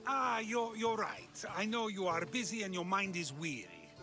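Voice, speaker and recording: A man's voice: high voice, big pitch swings, moderate pace. A man playing a playful, probing philosopher in a video game, theatrical and teasing. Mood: gracious. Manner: theatrical, teasing, rhetorical questioning. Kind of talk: video game cutscene acting. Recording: music behind.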